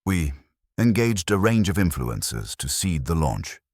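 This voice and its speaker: A man's voice: low-pitched, big pitch swings, measured pace, a little mellow, no echo, studio quality, full character voice. A man voicing an uneasy, evasive businessman under questioning in a mystery story, with corporate phrasing.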